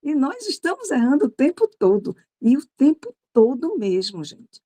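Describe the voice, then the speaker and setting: A woman's voice: sits high, big pitch swings, moderate pace, mellow. A Brazilian woman hosting an informal spiritual study group and inviting others to speak. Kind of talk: leading an informal group discussion.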